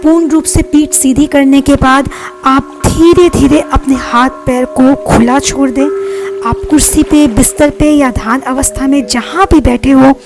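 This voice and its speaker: A woman leading a guided meditation as a life coach, speaking in a soothing, deliberate, instructing way. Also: sits high. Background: meditation music.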